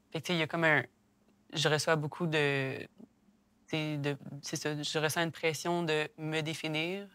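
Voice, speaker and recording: A woman with a Québécois accent sharing her experience reflectively, hesitant with many pauses and restarts. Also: sits low, a bit bright, no echo. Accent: Québécois French. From Quebec. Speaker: discussion participant.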